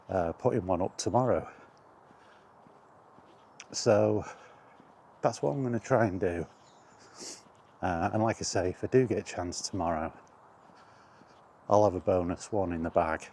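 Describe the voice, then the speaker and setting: A man's voice: low-pitched, mellow. A man chatting casually as the host of a walking vlog, thinking aloud in short, broken phrases outdoors. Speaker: host of a walk-and-talk retro gaming vlog. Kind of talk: casual rambling chat. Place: outdoors on a walk.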